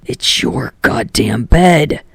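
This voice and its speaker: Irritable teen boy, played by a woman, low pitch, thick vocal fry, husky rasp, curt and dry delivery, audiobook character. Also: animated, slow, dry recording, heavily acted. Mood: gruff, insistent. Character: gruff, irritable, foul-mouthed teenage boy, blunt and dry.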